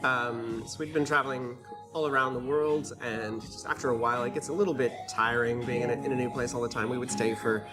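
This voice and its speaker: A man's voice: medium pitch, fairly even intonation, fairly quick, over loud music. A man from California with an American accent, chatting casually as an interview guest in a hesitant, understated way. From California, United States. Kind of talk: casual chat.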